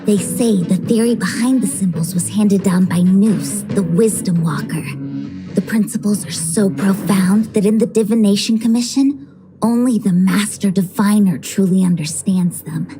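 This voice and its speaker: A woman's voice: medium pitch, moderate pace, natural voice. A woman voicing an easygoing young female diviner who explains things in a casual, breezy way in a video game. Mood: breezy, explanatory. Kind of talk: scripted video game voice acting. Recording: music under the voice.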